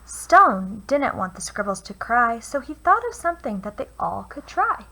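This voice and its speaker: A woman reading a children's picture book aloud with expressive, deliberate phrasing, as a teacher would for young listeners. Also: on the high side. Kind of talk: children's storybook read-aloud. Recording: noisy home recording.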